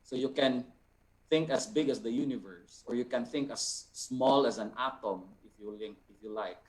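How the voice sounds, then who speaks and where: A man with a Filipino accent and a mid-pitched voice teaches without a script. He explains step by step in deliberate phrases, often ending them with a short tag question. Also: low-quality recording. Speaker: art teacher and resource speaker. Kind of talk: unscripted teaching, answering participants' questions.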